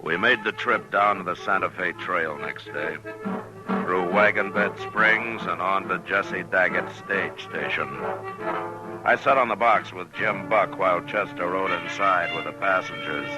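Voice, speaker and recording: A deep, understated voice of a weary frontier lawman in a radio western. He speaks gravely and sparingly. It is an old 1950s radio recording with music behind. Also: low-quality recording. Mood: grave, reflective. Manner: measured, understated. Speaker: lead actor and narrator. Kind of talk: 1950s radio drama acting.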